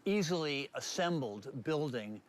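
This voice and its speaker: A man's voice: medium pitch, expressive, a little slow. An older man giving a casual, folksy interview as a small business owner on location. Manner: chatty, folksy. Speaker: movie theater owner being interviewed. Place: inside a small movie theater building. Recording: faint background noise, on location.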